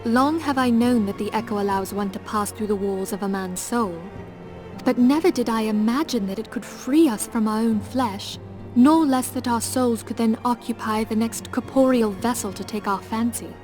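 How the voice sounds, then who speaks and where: An actress as a learned fantasy noblewoman, earnest and resolute. Her voice sits fairly high, and she speaks in measured, archaic phrases. Music plays clearly underneath, as in a game cutscene. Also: natural voice. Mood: grave, reflective. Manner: formal, measured, archaic diction. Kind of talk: video game cutscene acting. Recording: orchestral music behind the voice. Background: background music.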